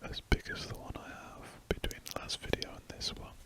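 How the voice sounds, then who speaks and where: A man whispering throughout as he chats casually while drawing, an ASMR host who rambles and corrects himself mid-sentence. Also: fairly quick. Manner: soft unvoiced whisper, rambling and self-correcting.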